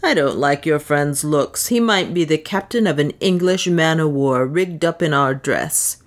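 Woman voicing a coarse, thick-voiced villain, snarling, lowered pitch. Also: unhurried. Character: coarse menacing male slave-trader chief.

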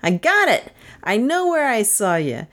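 A woman's voice: sits high, sing-song, takes it slowly, voice pushed up, exaggerated voice. A woman voicing a joyous, rambling male drunk in a crime story, slangy and repetitive. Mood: joyous whooping. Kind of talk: storybook character voice in crime fiction.

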